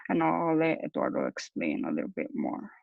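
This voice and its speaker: A man's voice: a little high, very animated, moderate pace, gravelly, dry recording. A young man explaining a project as a student presenter, on dull lo-fi audio. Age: young adult.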